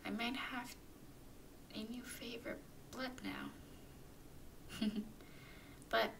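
Woman performing affectionate vampire girlfriend, ASMR roleplay, breathy whisper, highish with some fry, gentle and comforting. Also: huge pitch swings, recorded from a distance, heavily acted. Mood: affectionate, playful. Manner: soothing, affectionate, unhurried. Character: tender, doting female vampire girlfriend comforting her nervous partner.

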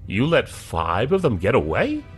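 A man's voice: medium pitch, huge pitch swings, a little slow, soft background music. An actor playing a worried male scientist and father who talks tensely and defensively in an audio drama.

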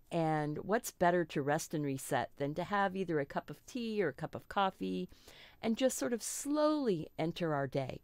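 A middle-aged woman with a slightly froggy voice, hosting a relaxed live painting lesson and chatting reassuringly with little asides and self-corrections. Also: fairly low pitch, clean tone, dry recording. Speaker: art teacher hosting a live stream.

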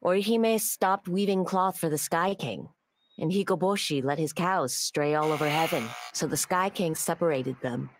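A woman plays an eager young lover in a video game. The voice sits low for a woman. The delivery is that of someone smitten and devoted. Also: moderate pace, slight background noise, natural voice. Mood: gentle storytelling.

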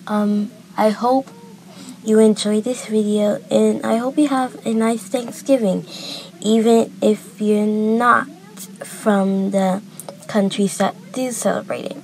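A woman's voice: medium pitch, steady tone, slow, a little mellow, a little hiss or hum, poor audio. A woman narrating and voicing a homemade roleplay story as its creator.

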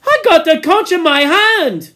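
A man's voice: high voice, animated, unhurried. A man reading aloud and voicing an indignant, put-upon schoolboy who insists on being heard. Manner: indignant, whiny.

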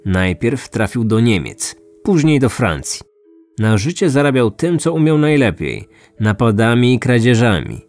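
A man's voice: medium pitch, sing-song, measured pace, a little mellow. Guy narrating true crime, mid-range voice, scripted, music underneath. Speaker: podcast host and narrator. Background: background music.

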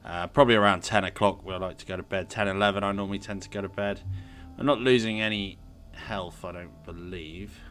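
A man's voice: sits low, steady tone, a little fast, a bit bright. A man with a sniffly cold, casually commenting on his gameplay and thinking aloud with lots of fillers. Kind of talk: casual let's-play commentary.

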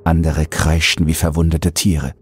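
A man narrating a scripted horror audiobook in a deliberate, brooding delivery. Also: a bass voice, flat delivery, husky, faint music behind.

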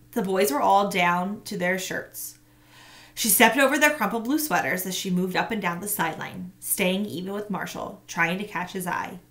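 Gravelly woman reading a storybook aloud. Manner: expressive, pitches up sharply for characters' shouted lines. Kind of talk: children's book read-aloud. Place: small home room indoors. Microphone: laptop webcam mic.

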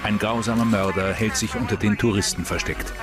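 Low voiced guy narrating a crime doc, slow-burn suspense, music under it. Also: steady tone. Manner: measured, suspenseful delivery. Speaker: documentary narrator. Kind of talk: scripted true-crime narration.

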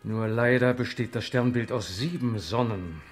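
An actor playing a grave, thoughtful professor in a children's sci-fi radio drama, explaining things in a deliberate way. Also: male voice, medium pitch, soft background music, natural voice.

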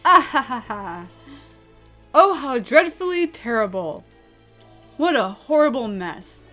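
A woman's voice: on the high side, expressive, measured pace, fairly bright tone, soft background music. A woman voicing a mad, gloating male villain in a mocking, melodramatic, anime-style performance. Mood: mocking glee. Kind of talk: anime-style dramatic character acting.

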